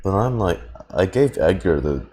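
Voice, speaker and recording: A man's voice: sits low, very animated, normal speed, dark tone. A man giving casual gameplay reaction commentary, musing and rambling playfully as he streams. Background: video game audio.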